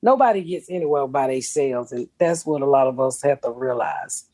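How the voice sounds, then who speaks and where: A woman's voice: lively intonation, normal speed, dark tone. A middle-aged woman, hosting a podcast in a blunt, profane way and laughing often. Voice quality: deep voice for a woman. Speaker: podcast host. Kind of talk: casual comedic chat.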